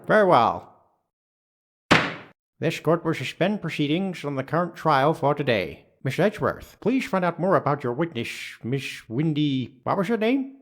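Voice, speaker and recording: A man's voice: on the high side, big pitch swings, normal speed. A man playing a pompous, easily baffled old judge in a courtroom game, speaking formally and ponderously. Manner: formal, ponderous, puzzled questioning. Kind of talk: dubbed courtroom game acting.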